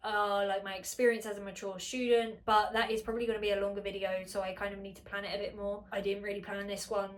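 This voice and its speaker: A woman's voice: medium pitch, flat delivery, quick pace, few pauses. A woman with a slightly nasal, congested voice, chatting casually to camera at home, rambling, filling her talk with "like" and correcting herself. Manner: rambling, with many filler "like"s and self-corrections. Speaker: vlogger. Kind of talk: casual unscripted chat to camera.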